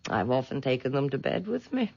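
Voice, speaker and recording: An actress playing a frail, superstitious old lady in a radio drama, with a hesitant, coaxing manner and a husky, quavering voice. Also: very deep voice, big pitch swings, a little slow, a little mellow, heavily acted. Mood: wistful, frail. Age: older. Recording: dull vintage radio recording.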